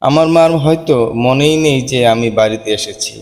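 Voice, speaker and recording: A man's voice: mid-range voice, fairly quick, a little mellow. A man reading a scripted first-person cartoon story in an earnest, sorrowful manner. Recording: long hall reverb. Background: music.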